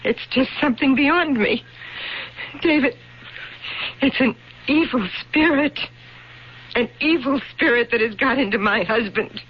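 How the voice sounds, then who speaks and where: An actress playing a distraught, devoted wife, speaking in halting, anguished repetitions in an old-time radio drama. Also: female voice, high-pitched, breathy edge. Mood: frightened, pleading. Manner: halting, repeats herself in anguish. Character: distraught devoted wife fearing for her husband. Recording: muffled old radio recording.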